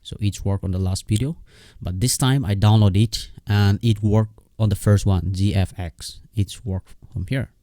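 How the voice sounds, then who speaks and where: A low-pitched man with a dark, relaxed tone walks through a casual computer tutorial. He speaks off the cuff, repeating himself and pausing to check that the listener follows. The sound is dry and close. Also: dry recording. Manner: halting, repetitive, with frequent check-in words. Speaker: software tutorial host. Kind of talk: casual unscripted screen tutorial.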